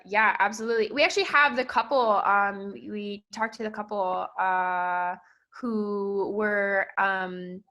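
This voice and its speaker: A woman's voice: mid-range voice, steady tone, a little slow, pushed and bright. A woman co-hosting an improvised mock true-crime podcast, casual and hedging in her delivery. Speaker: podcast co-host.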